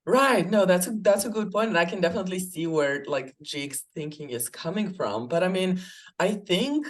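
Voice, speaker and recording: A woman's voice: on the low side, expressive, moderate pace. Chatty, reflective woman from Bulgaria. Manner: reflective, chatty, laughs often, many fillers. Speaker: podcast guest, life coach. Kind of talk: casual conversation.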